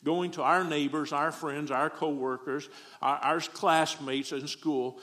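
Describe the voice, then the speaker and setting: A man's voice: a little high, fairly even intonation, a little slow, a bit bright. A middle-aged man from Texas with a Southern accent, preaching a folksy, joking sermon as a guest preacher.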